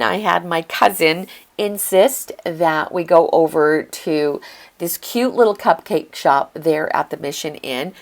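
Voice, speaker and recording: An older woman narrating a casual flip-through of her craft journal, chatty and gushing, her voice slightly hoarse from a lingering illness. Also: deep voice, darkish tone. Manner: chatty and gushing, with occasional throat clearing.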